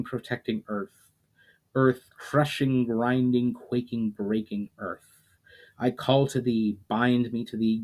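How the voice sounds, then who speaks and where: Male narrator, mid-pitched voice, dramatic fantasy audiobook reading, measured phrases, falling line endings. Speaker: author reading own fiction aloud, channel host.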